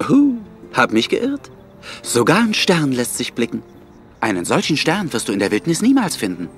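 An actor playing a conceited, dramatic lion in an animated film radio play, theatrical and exclamatory. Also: male voice, mid-range voice, normal speed, faint music behind. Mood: persuasive. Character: conceited, dramatic male lion, zoo star.

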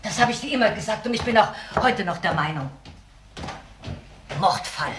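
A woman's voice: mid-range voice, expressive, moderate pace, reverberant, background noise, natural voice. An older actress playing a skeptical, disapproving mother in a crime radio drama, stern and reproachful. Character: disapproving, skeptical elderly mother of a grown daughter.

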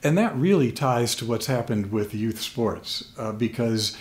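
Older American man explaining in measured phrases. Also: medium pitch, expressive. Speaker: guest expert, sports sociologist. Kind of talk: explanatory interview talk. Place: small plain room at a table, indoors. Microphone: desk microphone on stand.

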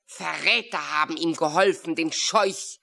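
A woman playing an excitable talking crow in a children's audio play, speaking deliberately and theatrically with caws. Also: low voice, gravelly, exaggerated voice. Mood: dramatic, reporting. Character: excitable talking female crow, messenger and friend.

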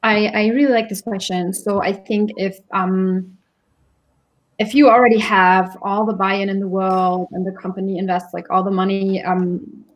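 A woman's voice: medium pitch, flat delivery, normal speed. A woman with a mid-range voice gives her opinion on an online panel. She explains conversationally and informally, with firm views. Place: room indoors, video call.

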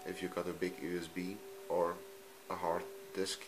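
A man from Belgium, a native Dutch speaker with a Dutch accent, talking off camera in a halting, simple way while he films his TV screen at home. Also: sits low, completely flat delivery, music in the background. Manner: halting, frequent ums, simple non-native phrasing. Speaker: hobbyist youtuber. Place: room at home, filming a TV screen.

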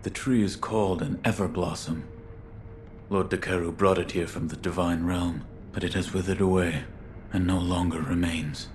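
A grim, reserved male swordsman from a video game voiced by a man speaks in a very deep register. He is deadpan, formal and loyal, sparing with words. Faint ambient noise sits behind him. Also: moderate pace, lightly acted. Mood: stoic, solemn.

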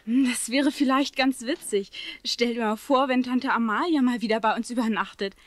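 A woman's voice: fairly high pitch, normal speed, lightly acted. A woman playing an imaginative, chatty young schoolgirl in a children's radio play, with faint hiss behind. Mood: amused, chatty.

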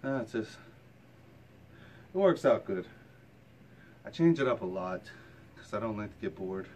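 Slow-talking tired man, noisy camera audio. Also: lowish voice, expressive, darkish tone. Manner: plain-spoken, colloquial, mild swearing. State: tired from exercise. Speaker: fitness vlogger. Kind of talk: casual workout vlog talk to camera. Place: rooms at home and a home gym.

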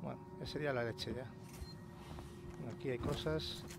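A man's voice: mid-range voice, steady tone, normal speed, over music. A man with a Castilian accent from Spain giving casual let's-play commentary, colloquial and sweary, muttering to himself as he goes. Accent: Castilian Spanish from Spain. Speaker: gaming channel host. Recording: clearly noisy, game ambience behind.

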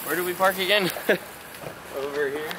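A man's voice: high voice, unhurried. An American man in his twenties chatting casually to the camera like a vlogger, with slangy, bro-ish asides to his viewers. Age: 20s. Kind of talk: casual vlog chat to camera. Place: car, large warehouse stores, parking lot. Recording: noisy car and big-box store ambience.

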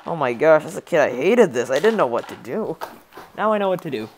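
A man's voice: a little high, big pitch swings, fairly quick, slight background noise. A teenage boy from Poland with a Polish accent, joking and rambling as he plays a game and comments. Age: teens. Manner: joking, rambling, reads game lines in put-on voices. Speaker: gaming YouTuber. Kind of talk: casual let's play commentary.

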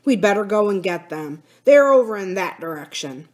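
A blustering male crook from an adventure storybook, as a woman reader puts him on. He is rough and commanding. His words come out as forceful barks. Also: mid-range voice, lively intonation, normal speed, close to an everyday voice. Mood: urgent.

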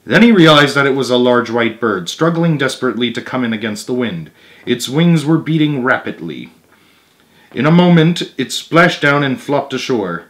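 A young adult man reads a children's book aloud as expressive narration with casual asides, recorded indoors in a living room with the camera a short distance away. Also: medium pitch, normal speed.

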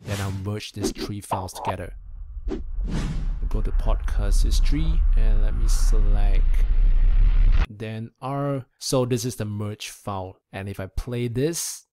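Young adult man, casual software reviewer, conversational walk-through delivery, close-miked at a desk. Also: fairly low pitch, fairly even intonation, unhurried, a little hiss or hum. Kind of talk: casual screen-recorded review and demo. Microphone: close desk microphone.

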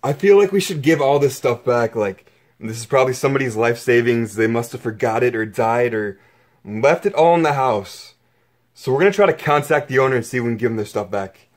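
Young guy vlogging, super excited, a bit husky, handheld cam up close. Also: mid-range voice. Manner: excitable, exclaiming over finds. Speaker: exploration vlogger. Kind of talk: excited vlog narration.

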